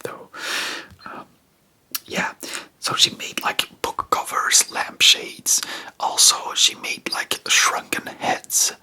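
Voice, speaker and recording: Man whispering rambling ASMR chat. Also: pushed and bright. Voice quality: breathy unvoiced whisper. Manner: rambling, hesitant, spontaneous. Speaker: ASMR video host.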